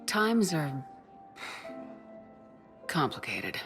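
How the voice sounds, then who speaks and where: A woman's voice: sits low, big pitch swings, extremely slow, heavily acted. A woman playing a tough, composed military commander in a video game, direct and controlled. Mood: guarded. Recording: music behind the voice.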